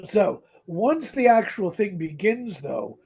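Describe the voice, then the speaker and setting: A man co-hosting a podcast, casually retelling a story in an animated way. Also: sits high, takes it slowly, gravelly. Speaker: podcast co-host. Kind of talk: casual chat, retelling a radio drama.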